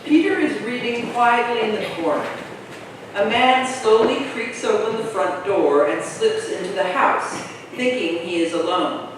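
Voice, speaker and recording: A woman's voice: a little high, expressive, unhurried. A female narrator sets the scenes of a history play, read by a woman at a staged reading. The voice is far from the microphone in a very echoey space with faint noise. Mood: descriptive. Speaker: reader of stage directions. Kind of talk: scripted present-tense narration in a staged reading. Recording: very echoey hall, distant mic.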